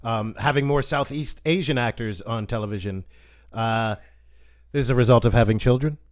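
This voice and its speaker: A male host runs a relaxed interview over a video call. He asks questions in a friendly, talkative way, with a mid-range voice. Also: medium pitch, moderate pace.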